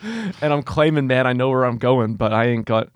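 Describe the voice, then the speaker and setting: A young man in his twenties chatting on a homemade radio show, rambling and poking fun at himself. His voice sits at an ordinary middle pitch for a man. The studio sound is close and dry. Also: animated, normal speed, no room sound. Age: 20s. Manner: rambling, self-mocking, laughs often. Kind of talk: casual chat. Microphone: studio mic, moves back and forth from it.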